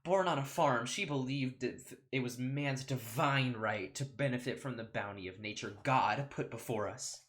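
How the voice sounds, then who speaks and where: A man's voice: mid-range voice, normal speed, hardly any pauses, fairly bright tone, pitched above the performer's own voice, natural voice. A man voicing a pompous, folksy, theatrical courtroom lawyer in an amateur solo script reading. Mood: folksy, grandiose.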